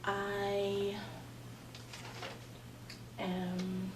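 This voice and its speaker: A woman's voice: medium pitch, completely flat delivery, extremely slow. A woman in her twenties facilitating a student group meeting, earnest and prayerful, with many fillers. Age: 20s. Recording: echoey room, distant mic, background noise.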